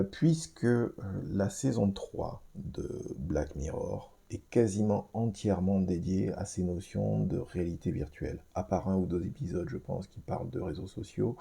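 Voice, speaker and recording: A man's voice: on the low side, creaky, mellow, husky. A man in his fifties hosting a film review podcast, talking to listeners in a rambling way with many restarts and fillers. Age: 50s. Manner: addresses listeners directly. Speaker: podcast host.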